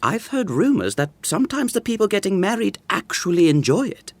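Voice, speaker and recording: Teasing young romantic, super animated, dry close sound, romcom audio drama. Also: mid-range voice, a little fast, no room sound. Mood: wistful, dreamy. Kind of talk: modern romantic comedy audio drama acting.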